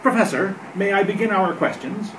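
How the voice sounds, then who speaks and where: Man playing an earnest radio commentator, high-pitched, distant. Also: normal speed, close to an everyday voice. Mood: earnest, formal. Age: older. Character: earnest radio commentator reporting live from an observatory. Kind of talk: 1930s radio play read from script. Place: hotel meeting room at a convention panel. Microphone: camera across the table. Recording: distant camera mic, clearly noisy room.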